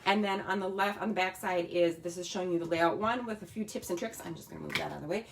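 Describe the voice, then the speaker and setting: A woman with a slightly raspy voice, chatting casually as she demonstrates a scrapbooking kit in her home craft room. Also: on the low side, fast talker, slight background noise. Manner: chatty, talks while working with her hands.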